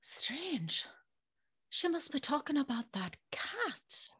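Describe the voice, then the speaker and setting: A woman's voice: high voice, slow, heavily acted. A woman playing an anxious, spirited young servant girl who tells her tale expressively in a gothic audio drama. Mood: nervous. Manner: expressive, breathy, nervous.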